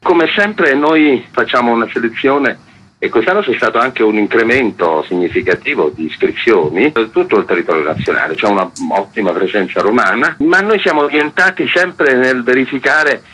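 A man's voice: medium pitch, animated, sharp tone, slightly rough, poor audio. A man who directs a theatre festival, talking at length in a radio interview with hesitations. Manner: discursive, with filler pauses.

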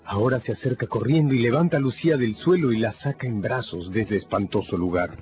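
A man delivering dramatic scripted narration for a radio drama, storytelling with deliberate pauses. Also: mid-range voice, a little mellow, muffled recording, soft background music.

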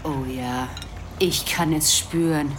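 A woman playing a brooding prophetic figure in a radio play, speaking in ominous, incantatory, drawn-out phrases of rage at mankind. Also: deep voice, fairly even intonation, noisy background. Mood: ominous, intense. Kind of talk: dramatic radio play monologue. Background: music.

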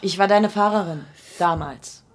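A woman's voice: medium pitch, moderate pace, close to an everyday voice. An actress playing a cool, insistent woman client in a hardboiled radio drama, terse and unflappable. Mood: matter-of-fact.

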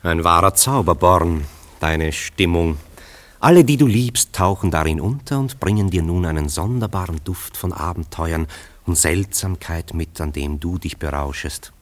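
An actor playing a worldly, sceptical friend in a German radio play, with a dry, ironic, eloquent and theatrical delivery. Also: male voice, deep voice, normal speed, lightly acted. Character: sceptical worldly male friend, gently mocking realist. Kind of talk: German radio play acting, literary dialogue.

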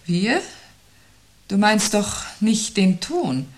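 A woman's voice: mid-range voice, sing-song, very slow. An actress playing a sober, guarded woman facing the world's end in a radio play, speaking tersely and with restraint. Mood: guarded, resigned. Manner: terse, restrained, matter-of-fact. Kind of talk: 1970s German radio play acting.

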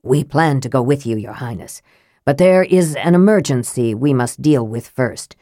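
A woman voicing a cold, sardonic young male mage who speaks dryly, flatly and curtly in a lowered voice. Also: normal speed, dry recording. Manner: dry, flat and clipped.

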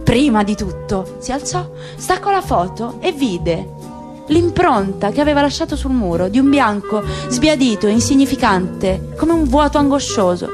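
Woman, radio theatre narrator, grave, measured, high voice, clear music underneath. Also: expressive. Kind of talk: literary reading for radio theatre. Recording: radio broadcast with music bed.

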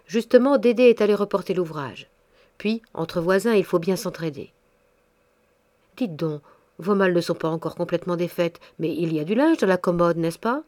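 A bustling old neighbor woman with a gruff, bossy way of fussing over people, as a woman narrator puts her on. Her voice sits a little low, and she gives orders and comfort in the same brusque breath, like a storybook busybody with a soft heart. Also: lively intonation, moderate pace, close to an everyday voice. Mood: coaxing.

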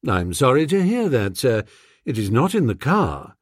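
A man voicing a discreet, deferential English valet in an audiobook, formal, measured and deadpan. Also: mid-range voice, slightly rough, dry recording, studio quality.

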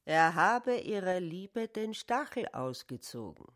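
A woman reads literary narration for a radio play. She phrases deliberately at a very slow pace, in a slightly low voice. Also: sing-song.

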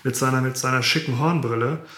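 An actor in a radio drama playing a fidgety, excited man who rambles about clearing out his life. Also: mid-range voice, quick pace, natural voice. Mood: indignant. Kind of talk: radio drama diary monologue.

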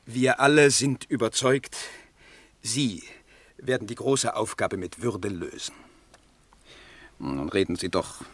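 An actor in a German radio play as a smooth-tongued, ceremonious party spokesman and factory owner, speaking in formal oratory. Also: on the low side, slow pace, pauses often, no echo.

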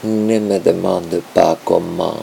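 Very slow, gravelly deep-voiced man reciting poetry. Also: fairly even intonation, dark tone, slight background noise. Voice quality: gravelly, creaky. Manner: halting, dramatic delivery in short broken phrases. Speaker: poetry translator and performer. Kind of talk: performative poetry reading.

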